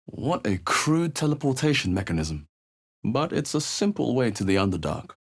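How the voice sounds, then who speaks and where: A man playing a watchful, wry adventurer hero in a fantasy video game, musing in measured asides. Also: medium pitch, very animated, husky. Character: adventurer hero, male, watchful, wry, muttering observations while exploring. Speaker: player character in a fantasy role-playing game.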